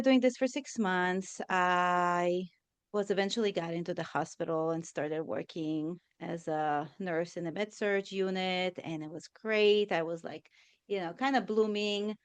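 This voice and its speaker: A woman in her thirties, from Israel and born in Russia, recounts her own story as a guest on a podcast. Her voice is smooth and clear, recorded dry and close. She talks animatedly, slipping into quoted lines of what she once said. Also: medium pitch, no room sound. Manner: animated, acts out her past self in quotes, many fillers. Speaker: podcast guest, forest therapy guide. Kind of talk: personal storytelling interview.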